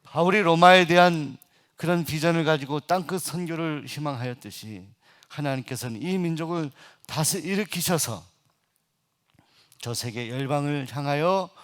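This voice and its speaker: A middle-aged man preaching a Sunday sermon as senior pastor. He hesitates often with filler sounds, then builds to loud, emphatic passages. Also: fairly high pitch.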